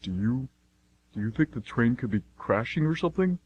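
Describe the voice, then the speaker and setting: A man's voice: medium pitch, lively intonation, a little fast, dull audio, a little hiss or hum, natural voice. A man playing a sarcastic, skeptical and somewhat nervous friend who wisecracks, in an amateur radio drama. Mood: skeptical, uneasy.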